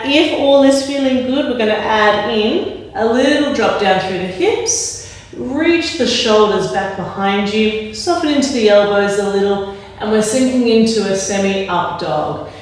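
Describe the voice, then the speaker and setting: Calm woman guiding yoga, echoey room. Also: on the high side, a little slow. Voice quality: slightly raspy when straining. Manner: calm, gentle guiding cues. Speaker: yoga instructor. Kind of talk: guided yoga class instruction. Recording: very echoey room, mic at a distance.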